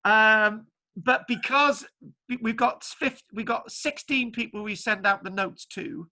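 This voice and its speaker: A man lecturer from Wales talking casually to his online class, halting with many restarts and fillers. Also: sits high, bright, forceful tone. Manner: halting, full of restarts and ums. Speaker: archaeology lecturer. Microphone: video call. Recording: spotty video call connection.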